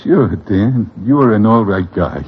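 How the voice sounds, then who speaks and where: An actor playing a gruffly easygoing New barkeep in a 1940s radio drama. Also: male voice, fairly low pitch, animated, unhurried. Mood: loyal, wary. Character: Good Time Charlie, gruffly easygoing New York barkeep. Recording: dull, noisy, clicking vintage radio recording.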